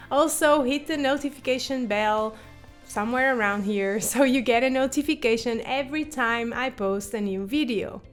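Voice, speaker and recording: A Portuguese woman teaching her language in English, explaining patiently and repeating example phrases. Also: sits high. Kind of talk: online language lesson.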